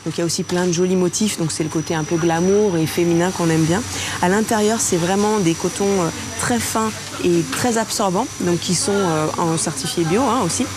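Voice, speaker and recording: A woman interviewed in a TV report, explaining carefully with hesitant pauses. Her voice is on the lower side. Clear background noise runs behind her words. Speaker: interviewee, maker.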